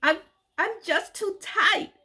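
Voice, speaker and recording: A woman voicing a frightened young woman in a panic, crying out in high, pleading tones. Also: slow, bright, forceful tone, full character voice.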